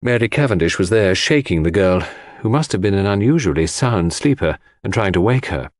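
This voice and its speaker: A man's voice: on the low side, animated, fairly quick, no echo, clean studio sound. A man reading scripted first-person literary narration as an audiobook narrator.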